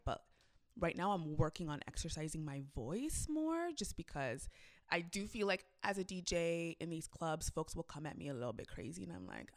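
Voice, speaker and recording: A young woman who DJs, chatting casually and telling a story with lively shifts, acting out what people said. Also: on the low side. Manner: animated storytelling, acts out quotes. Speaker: club DJ. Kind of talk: casual conversation.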